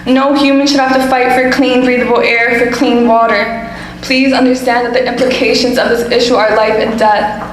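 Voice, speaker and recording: A woman's voice: fairly high pitch, flat delivery, normal speed, a little hiss or hum. A young woman, a student giving a firm, insistent prepared comment at a public hearing. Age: young adult. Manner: firm, insistent, reading a statement. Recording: echoey hall with faint ambience.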